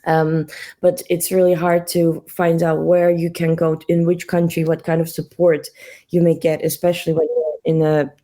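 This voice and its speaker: A woman's voice: on the low side, little pitch movement, normal speed, mellow. A young adult woman from Russia, a guest answering interview questions hesitantly, with many fillers and restarts. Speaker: guest, NGO co-founder.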